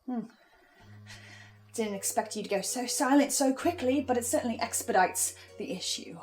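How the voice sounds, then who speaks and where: A woman playing a composed, eerie researcher in a horror audio drama, speaking in a deliberate theatrical way. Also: on the high side, darkish tone, faint music behind, lightly acted. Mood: cold, menacing. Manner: slow, deliberate, ominous, suave.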